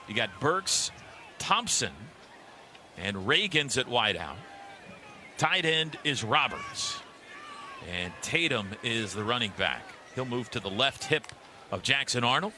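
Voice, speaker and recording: American play-by-play man over clear stadium noise. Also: mid-range voice. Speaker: radio play-by-play announcer. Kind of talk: live American football play-by-play commentary. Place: outdoor football stadium. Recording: clearly noisy outdoor stadium broadcast.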